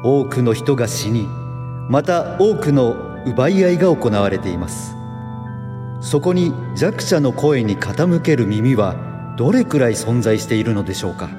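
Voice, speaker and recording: An actor playing an earnest, kindly American doctor and lecturer in a radio drama. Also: male voice, mid-range voice, lively intonation, measured pace, over music, lightly acted. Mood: solemn, persuasive.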